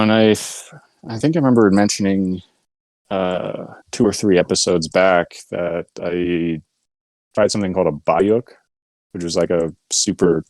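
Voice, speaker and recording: A man with a low voice chats as a podcast co-host, explaining things in a loose, rambling way. He is recorded dry, with no room sound. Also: a little mellow, dry recording. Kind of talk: casual podcast chat.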